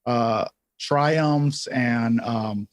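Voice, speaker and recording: A man's voice: medium pitch, very slow, a crowd in the background. A man co-hosting a livestream tutorial in casual chat, informal and self-deprecating, often saying "like" as he goes. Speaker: livestream co-host.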